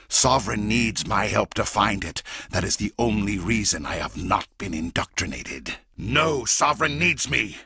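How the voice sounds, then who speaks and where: A fanatical alien warlord in a video game, menacing and cold. His low, very husky voice has a sharp, forceful edge. He speaks deliberately and grandly, with intense swings of pitch. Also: slight background noise, full character voice. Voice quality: gravelly, raspy. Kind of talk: dramatic video game voice acting.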